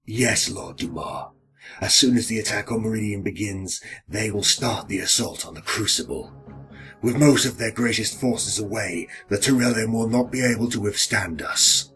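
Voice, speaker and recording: A man playing a dutiful vampire lieutenant in a fantasy audio drama, giving formal, measured military reports. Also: on the low side, fairly bright tone, soft background music, close to an everyday voice. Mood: obedient.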